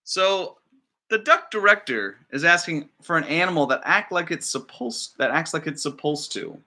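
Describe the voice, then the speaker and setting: A male storytime reader with a bright, very lively voice. He explains things to children in a chatty, expressive way, with plenty of rise and fall. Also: on the high side, normal speed. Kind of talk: children's picture book read-aloud with chatty asides.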